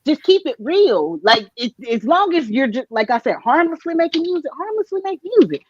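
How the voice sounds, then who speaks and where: A woman's voice: high-pitched, big pitch swings, a little fast, no echo, lo-fi sound. A woman arguing her point in a casual panel chat, emphatic and slangy, often repeating herself.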